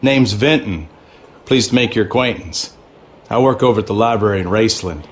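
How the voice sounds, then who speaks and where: An actor playing a courteous, chatty small-town librarian in a video game, with a Southern US drawl. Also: male voice, lowish voice, fairly quick, slight background noise, natural voice. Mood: affable.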